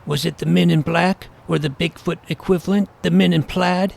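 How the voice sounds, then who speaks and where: Guy playing eager kid detective telling his story, precocious and serious, slightly high crackly voice, some noise behind. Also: steady tone, normal speed, vocal fry, a little mellow. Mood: dramatic, wry. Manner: precocious, wordy, earnest, mock-serious. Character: earnest, eager schoolboy paranormal detective narrating his adventure. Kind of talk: first-person scripted audio drama narration.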